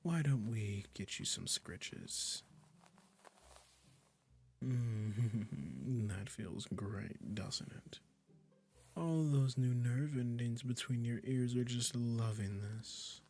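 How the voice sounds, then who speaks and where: A man's voice: mid-range voice, very slow, darkish tone, faint music behind, exaggerated voice. A man playing a smug, possessive, doting male witch in an intimate roleplay audio, cooing condescendingly. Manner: condescending, cooing, breathy endearments. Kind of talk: intimate ASMR roleplay acting.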